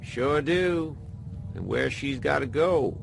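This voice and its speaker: A dead hacker living on as a recorded mind, creaky and gruff. He talks like a folksy old mentor, wry and sparing with words. Music plays clearly under this radio drama voice. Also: medium pitch, expressive, slow, heavily acted. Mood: jaunty, drawling. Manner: folksy, laconic, calling the listener boy. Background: loud music.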